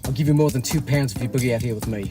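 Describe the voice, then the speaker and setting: A man's voice: mid-range voice, rapid-fire, over music, close to an everyday voice. An actor playing an exasperated, scheming villainous businessman in an audio drama. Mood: exasperated, scornful. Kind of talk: cinematic audio drama acting.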